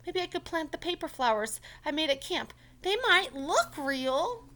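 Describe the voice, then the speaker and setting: Woman as forgetful storybook kid: high, bright, hesitant, sincere and a little anxious. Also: sing-song, unhurried, full character voice. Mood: eager. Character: Franklin, earnest, anxious forgetful little boy character.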